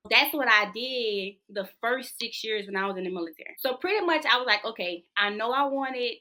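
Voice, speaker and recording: A young woman from Louisiana with a bright, ringing voice set a little high. She talks through her path into nursing as an interview guest, animated and quick to stress her points. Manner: lively, animated storytelling with rising emphasis. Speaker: nurse and army officer, guest. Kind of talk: casual interview conversation.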